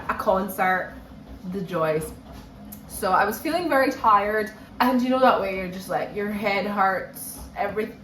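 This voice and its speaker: A woman, chatting casually to camera and repeating phrases for emphasis, a bit run down with a cold. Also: mid-range voice. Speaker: book vlogger. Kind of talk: casual chat to camera, reading vlog. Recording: echoey room with faint background noise.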